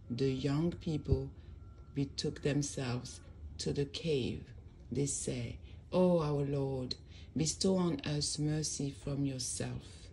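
A speaker who recites scripture and then translates it in a measured reading, stressing key words, at a desk indoors with a phone recording. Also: medium pitch, dark tone, a little hiss or hum. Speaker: religious storyteller.

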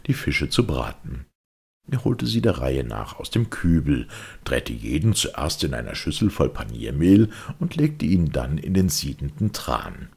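A man narrating a children's audiobook as an expressive storyteller. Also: very deep voice, vocal fry, husky.

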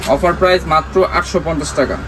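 A man's voice: a little high, fast. A man with a Bangladeshi accent presenting clothes as a shop seller, in a repetitive sales patter sprinkled with English words. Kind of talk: live sales showcase. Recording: busy shop with background crowd noise.

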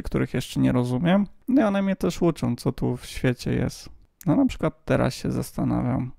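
A man's voice: mid-range voice, vocal fry, darkish tone, no room sound, studio quality. A man giving a casual, playful, tongue-in-cheek explainer voice-over as a hobbyist video host.

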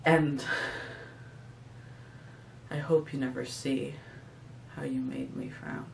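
Man acting a slow, heartbroken, abandoned friend. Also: medium pitch, huge pitch swings, creaky, a little mellow, full character voice. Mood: wistful, sad. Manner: slow, mournful, theatrical recitation. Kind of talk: dramatic audition monologue. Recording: echoey room, distant mic, faint noise.

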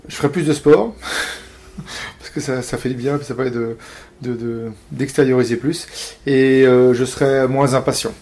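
A man in his forties from Paris, speaking as an interview guest and pausing often on fillers and restarts. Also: mid-range voice, fairly even intonation, a little mellow. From Paris, France. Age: 40s. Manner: hesitant, many fillers and restarts. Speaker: interview guest, company founder. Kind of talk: casual interview talk.

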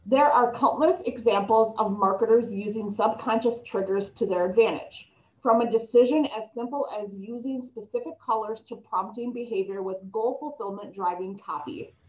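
A woman's voice: a little high, normal speed. Young woman presenter on a video call, slight lisp, reads slides aloud with stumbles, somewhat dull laptop-mic sound. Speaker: presenter reading an article aloud.

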